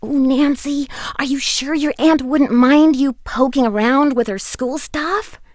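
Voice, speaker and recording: High voiced young gal pal in a video game, earnest n encouraging, bit fretful, super dry sound. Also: female voice, measured pace, a little mellow, dry recording. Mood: worried. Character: young female friend giving hints, fretful and encouraging.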